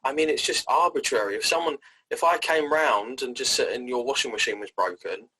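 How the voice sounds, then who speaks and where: A man hosting a career interview, chatting in an animated, jokey way. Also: medium pitch, brisk, breathy edge, rough recording. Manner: animated, jokey, builds up examples. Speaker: host, career coach. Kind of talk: casual interview chat.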